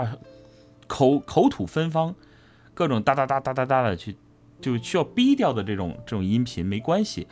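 A man, a voice actor, giving a casual, explanatory interview answer and repeating phrases for emphasis. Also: mid-range voice. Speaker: voice actor interviewed about his character.

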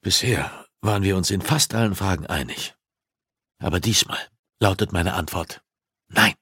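A man's voice: low voice, normal speed, sharp tone, breathy edge, no room sound, full character voice. A male narrator plays a desperate father who works as a detective. He protests with agitated emotion in an audiobook scene. The recording is dry, with no room around the voice. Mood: defiant. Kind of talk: audiobook dialogue acting.

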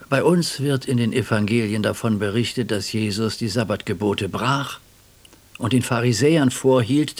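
A learned jurist in a radio drama. His voice is lowish, gravelly and rough-edged. He speaks formally and thoughtfully, with a sceptical, brooding turn. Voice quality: gravelly, husky. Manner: measured, bookish, questioning. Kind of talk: radio play dialogue.

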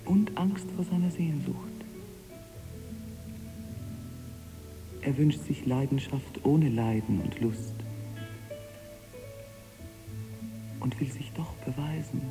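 An actress playing an unhappy, devoted and bitter wife in a 1980s German radio drama, speaking in a deliberate, reflective, literary way. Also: female voice, a bass voice. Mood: hushed, observing. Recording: noisy cassette copy of radio play.

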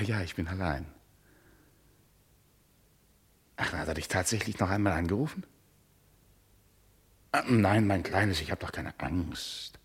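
Sneering, husky-voiced domineering husband. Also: male voice, sits low. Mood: soft, reassuring. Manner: mocking, theatrical, condescending. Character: sneering, domineering husband who enjoys power and taunting. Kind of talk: German radio play acting.

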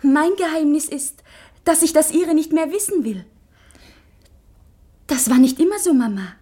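An anxious young schoolgirl in a literary radio drama. The voice is high and girlish, confiding in earnest, intense phrases. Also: animated, normal speed, mellow. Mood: troubled, earnest. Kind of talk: literary German radio play acting.

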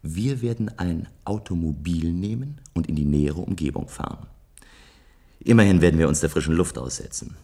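A restless dreamer from a future society in a German radio play. The voice sits extremely low, with a gravelly, husky grain. He muses deliberately, longing for adventure. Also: dark tone. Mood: earnest, musing. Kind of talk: German science-fiction radio play acting, narrating and dialogue.

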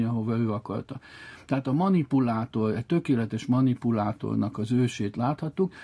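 A man's voice: medium pitch, mellow. A man talking as an expert, explaining history in long, discursive storytelling sentences.

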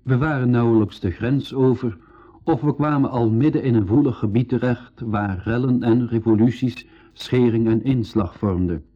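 Man acting as adventurer narrator, Flemish accent, husky mid-pitched voice, measured formal storytelling, dull lo-fi radio recording. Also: lightly acted. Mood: measured, serious. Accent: Belgian Dutch. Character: male adventurer narrating his voyage log. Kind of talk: scripted radio play narration.

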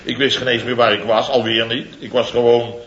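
A man's voice: medium pitch, little pitch movement, fairly quick, a bit bright, roomy sound, lightly acted. An actor playing a blustering contractor in his fifties who tells his mishaps theatrically and chuckles through his lines. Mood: blustering, amused. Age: 50s. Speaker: contractor boss Biels. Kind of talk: 1960s radio comedy serial. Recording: dull old broadcast recording, studio audience laughter. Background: audience laughter.

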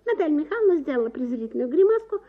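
A woman narrating a literary short story in an expressive, dramatic scripted style. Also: sits high, racing, narrow, tinny audio.

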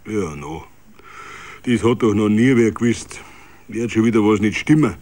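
A man's voice: on the low side, normal speed, darkish tone, close to an everyday voice. A man voicing a stout Munich innkeeper in a radio story, grumbling and contemptuous, with a Bavarian accent. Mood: indifferent scorn. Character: indifferent, grumbling, scornful stout Munich tavern keeper. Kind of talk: dramatic reading of a radio story.

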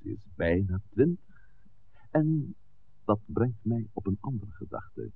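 An actor playing a shrewd old village priest in a 1960s radio play, with a gravelly voice and deliberate, eloquent, theatrical delivery. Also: male voice, fairly low pitch, big pitch swings, exaggerated voice. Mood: sly, measured. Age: older. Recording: tinny, band-limited old radio recording, hiss.

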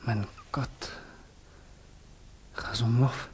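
Radio drama casting: idealistic young Russian revolutionary, husky mid-pitched voice, earnest, trusting, fervent. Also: male voice, steady tone, very slow, darkish tone, heavily acted. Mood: hushed, moved. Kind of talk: 1960s German radio drama acting.

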